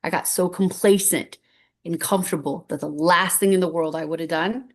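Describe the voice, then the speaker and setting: Woman, 50s, lowish voice, earnest storyteller who rambles and restarts; guest sharing her journey. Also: steady tone, moderate pace. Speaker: guest speaker. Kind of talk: heartfelt personal story on stage.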